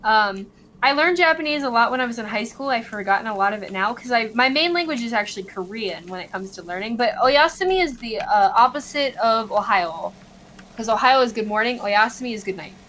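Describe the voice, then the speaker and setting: A woman's voice: fairly high pitch, expressive, a little fast, fairly bright tone, slight background noise. A woman in her twenties chatting casually, explaining things and laughing easily. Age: 20s. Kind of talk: casual chat.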